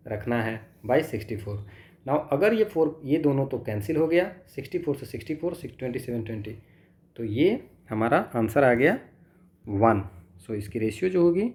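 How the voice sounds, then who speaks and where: Male tutor, Indian accent, mid-range pitch. Explains problems step by step, asking and answering his own questions. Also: darkish tone. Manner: thinks aloud, poses questions and answers them himself. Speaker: physics tutor. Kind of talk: step-by-step exam problem lesson, mixing Hindi and English.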